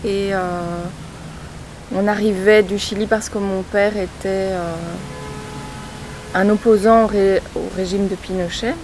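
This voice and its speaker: A woman's voice: mid-range voice, little pitch movement, a little slow, darkish tone. Belgian woman in her forties, noisy background. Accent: Belgian French. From born in Chile, grew up in Belgium from age four. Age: 40s. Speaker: interviewee telling her family's migration story. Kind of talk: personal testimony in an interview.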